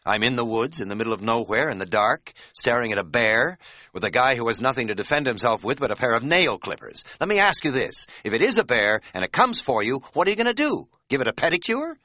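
A man acts out a frantic camper in an audiobook story. He is fussy and indignant, swinging widely in pitch with a bright, tense edge. His sarcasm comes wrapped in alarm. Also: big pitch swings, a little fast, no echo. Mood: hysterical indignation. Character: frantic, whispering, easily alarmed male camper. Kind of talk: audiobook character dialogue.

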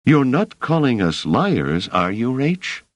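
A man voicing a cautious, sharp-tongued young woman who speaks firmly and gives orders, in a storybook reading. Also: mid-range voice, big pitch swings, slow pace, no room sound, voice pushed up. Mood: stern. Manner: firm, commanding.

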